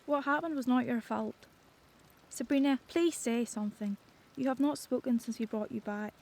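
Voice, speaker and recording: An actress playing a gentle, motherly survivor in an audio drama, husky and reassuring, with a Northern Irish accent. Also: on the high side, moderate pace. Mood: gentle, consoling. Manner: soothing, reassuring. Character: gentle motherly survivor comforting a traumatised child.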